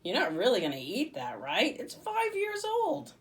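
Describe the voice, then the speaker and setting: Young girl in a storybook, read by a woman: bossy, inquisitive, insistent, highly expressive intonation. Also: moderate pace. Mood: puzzled, bossy. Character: Livy, bossy, impatient, curious young girl.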